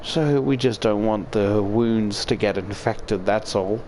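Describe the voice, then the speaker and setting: A man's voice: fairly low pitch, slow, a little mellow, a little hiss or hum. A man reading a visual novel aloud and adding joking, sweary commentary. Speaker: video creator reading a game aloud.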